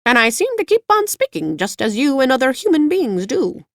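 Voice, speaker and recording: A woman voicing a fussy, proper yellow hen in a storybook reading, with a prim, confiding manner. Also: high-pitched, very animated, moderate pace, dry recording, clean studio sound, pitched above the performer's own voice. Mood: prim chatter. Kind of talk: audiobook character voice.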